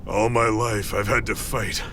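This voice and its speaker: Gravelly, weary fighting father. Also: fairly low pitch, level tone, measured pace, pushed and bright, a little hiss or hum. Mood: somber regret. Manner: solemn, heartfelt, weighty delivery. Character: weary, defiant middle-aged father and resistance fighter, regretful. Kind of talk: dramatic video game voice acting. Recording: music behind the voice.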